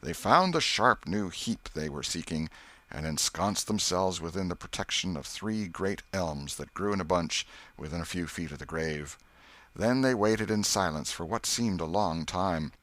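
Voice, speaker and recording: Deep-voiced man narrating an audiobook. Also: fairly bright tone, dry recording. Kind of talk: scripted literary narration.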